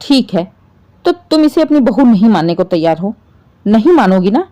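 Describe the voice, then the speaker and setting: A woman's voice: medium pitch, very animated, normal speed, warm tone, close to an everyday voice. A woman voicing a stern older father-in-law, a firm and reproachful patriarch in a family drama story.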